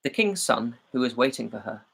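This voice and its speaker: A man reading a fairy tale aloud as a storyteller, in a measured and expressive way. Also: medium pitch, gravelly, slightly rough.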